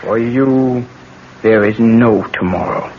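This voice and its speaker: A performer playing a solemn, vengeful island aunt steeped in voodoo, speaking gravely and deliberately in a 1970s radio drama. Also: medium pitch, natural voice. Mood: accusing. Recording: muffled, hissy 1970s radio broadcast recording.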